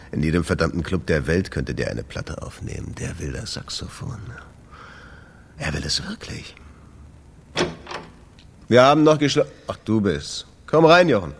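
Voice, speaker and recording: An actor playing a troubled, exasperated jazz club owner in a radio play, talking in a confiding way. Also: male voice, low voice, very animated, slow, creaky, long pauses, slight background noise, exaggerated voice. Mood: worried, confiding.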